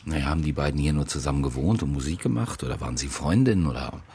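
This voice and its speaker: A no-nonsense police detective who fires off short, blunt questions. His voice is very low. It comes from a radio crime drama. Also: very fast, darkish tone, a little hiss or hum. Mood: probing. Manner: blunt, matter-of-fact questioning.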